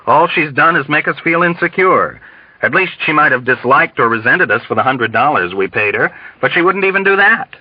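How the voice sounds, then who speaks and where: A man reading a novel as a calm, jaded male general with a weary, condescending manner. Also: on the high side, normal speed, no echo, muffled recording, voice pushed up.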